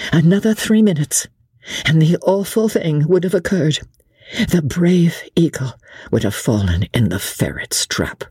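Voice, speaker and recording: A woman's voice: low voice, vocal fry, warm tone, breathy edge, no room sound, clean studio sound. A woman reading an audiobook as dramatic narration, in a theatrical, suspenseful manner.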